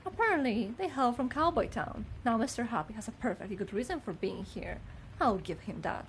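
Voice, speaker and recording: A woman's voice: fairly high pitch, animated, a little fast, a little hiss or hum, rough recording. A woman playing a gossipy, judgmental older housekeeper in a video game, with a fussy, haughty manner. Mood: disapproving, suspicious. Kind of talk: scripted game character dialogue.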